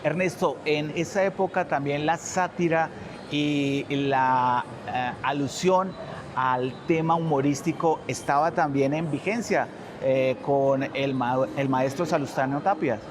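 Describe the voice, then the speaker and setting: A man's voice: on the high side, a little slow. A man with a Colombian accent interviewing in a formal, respectful manner. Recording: clear outdoor traffic noise.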